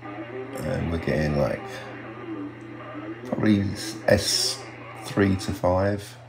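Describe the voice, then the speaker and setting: An older man with an extremely deep voice full of creaky fry. He talks casually and haltingly, in an unhurried hobby-vlog style. The room sound is noticeable around him. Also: music in the background. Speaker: radio hobbyist vlogger. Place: small home radio room, indoors. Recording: roomy sound with faint hum.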